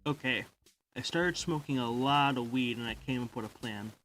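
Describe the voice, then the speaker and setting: A man's voice: mid-range voice, normal speed, fairly bright tone, slight background noise, lightly acted, rough recording. A man voicing a goofy, boastful stoner echidna in an amateur comic dub, rambling in slang. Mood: rambling narration. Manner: rambling, slangy, says lol aloud.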